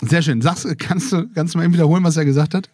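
A German man hosts a live podcast, cracking jokes and wandering off into tangents. His voice sits a little high. The sound is dry and close to the mic. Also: racing, a little mellow, dry recording. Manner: playful, rambling, drifting off topic. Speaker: podcast host. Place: festival recording room with a live audience.

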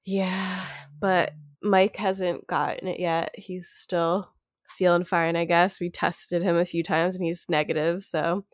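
A young woman from the United States with a congested, nasal voice, short of breath, talking slowly and quietly in a casual chat. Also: fairly low pitch, flat delivery, dry recording, clean studio sound. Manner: talks slower and quieter, winded. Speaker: sick friend.

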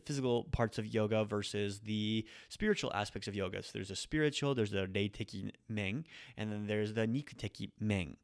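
Low-voiced man teaching Japanese casually. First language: English. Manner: relaxed, self-correcting, mixes in Japanese phrases. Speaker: podcast host teaching Japanese. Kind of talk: casual spoken grammar lesson.

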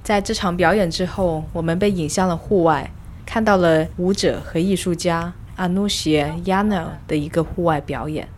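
A woman narrating a scripted first-person arts audio log in a reflective, measured, literary manner. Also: fairly low pitch, a little hiss or hum. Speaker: host. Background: music.

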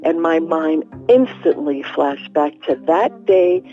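An older woman recounts her experience in an interview at a very slow pace. Her lowish voice moves animatedly as she tells it, on slightly dull audio. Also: soft background music. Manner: animated storyteller, acts out quoted dialogue. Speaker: retired bus driver, interviewee. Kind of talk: first-person recollection in an interview.

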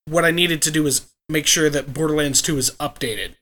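A man co-hosts a podcast in an easygoing, chatty way, sounding stuffy from allergies. His voice is bright and sharp, sitting a little higher than most men's. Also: moderate pace. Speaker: podcast co-host. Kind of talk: casual chat.

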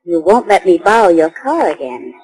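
A woman's voice: fairly low pitch, big pitch swings, normal speed, a little mellow, poor audio. A woman playing a curious, lightly teasing friend of a detective in a 1940s radio drama, on a vintage recording. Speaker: supporting actress.

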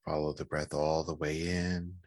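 A man leading a guided meditation in a calm, gentle, measured manner with long pauses. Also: rumbling low, dry recording, studio quality.

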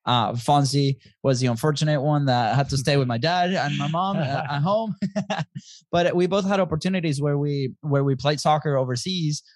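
A relaxed man in his thirties, originally from Venezuela, talking easily as a podcast guest. He laughs often and leans on little fillers. The sound is close and dry, with no room around it. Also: on the high side, normal speed, no echo. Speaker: guest, business co-founder. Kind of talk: casual interview conversation.

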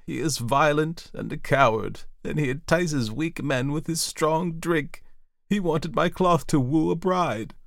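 A man's voice: on the high side, very slow, vocal fry, few pauses, sharp tone, no room sound, studio quality, pitched above the performer's own voice, full character voice. A man voicing a kindly old village woman in a folk tale, tearful, fretful and emphatic. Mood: afraid, bitter.

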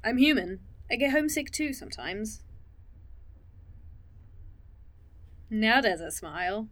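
Val, a conscientious, higher-voiced woman. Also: very animated, moderate pace, fairly bright tone, no echo. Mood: friendly, caring. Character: Val, conscientious woman, caring yet torn conspirator. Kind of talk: audio drama dialogue, naturalistic.

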